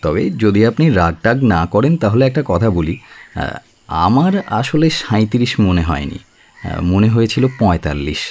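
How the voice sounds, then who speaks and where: A man's voice: fairly low pitch, very animated, normal speed, darkish tone. An actor playing a frank, wry young bachelor in a radio play, polite but teasing as he talks. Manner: polite, teasing, hesitant openers.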